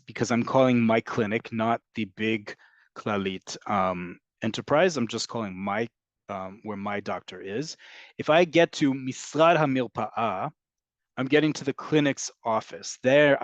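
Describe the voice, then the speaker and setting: A man's voice: mid-range voice, no echo. A man teaching Hebrew in an online class, patiently explaining and answering students' questions. English is his first language. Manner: patient, explanatory, repeats and rephrases.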